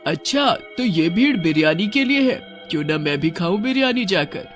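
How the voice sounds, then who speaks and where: A woman voicing a gluttonous, gleeful and bossy witch ghost in a cartoon, gloating with drawn-out exclamations. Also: lowish voice, expressive, soft background music, lightly acted. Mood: greedy delight.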